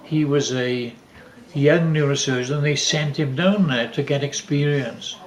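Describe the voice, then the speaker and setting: An older man telling family stories casually, rambling and halting with repeated false starts. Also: on the high side. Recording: echoey room.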